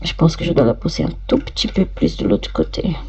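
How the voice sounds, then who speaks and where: A woman with a cold, her voice stuffy, slowly talking viewers through each step of fitting a part on a sewing machine. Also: sits low, huge pitch swings, a little mellow, breathy edge. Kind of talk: step-by-step workshop demonstration.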